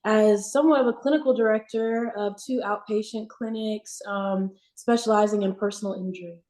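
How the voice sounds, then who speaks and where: A woman, a physical therapist on a panel, speaking in a measured way with many ums. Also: mid-range voice, steady tone, mellow. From Pensacola, Florida. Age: 20s. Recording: roomy echo.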